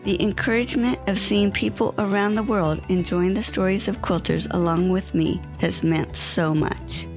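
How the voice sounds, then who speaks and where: A woman hosting a quilting podcast, speaking in a gentle, measured way as she interviews a guest. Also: mid-range voice, fairly even intonation, music in the background. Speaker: podcast host.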